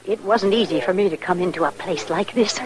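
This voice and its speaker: A woman's voice: mid-range voice, a little fast, close to an everyday voice, rough recording. Woman doing a stern frontier ma, scolding, old radio drama, saloon crowd noise behind. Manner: firm and scolding. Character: stern, strong-willed frontier mother. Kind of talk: 1950s radio drama acting.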